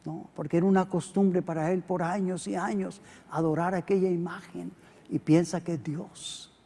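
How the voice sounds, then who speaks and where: A middle-aged Costa Rican pastor preaching a sermon, swinging between loud emphatic peaks and quiet low asides. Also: male voice, fairly even intonation, moderate pace, gravelly. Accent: Costa Rican Spanish. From Costa Rica. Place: church hall. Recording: long hall reverb.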